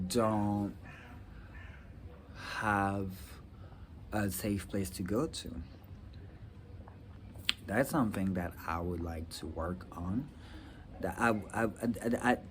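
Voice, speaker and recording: A young man from Rwanda talking earnestly about his future plans in a personal vlog, with halting restarts and many fillers. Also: on the low side, a little hiss or hum. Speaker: vlogger. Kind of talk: personal reflective talk to camera.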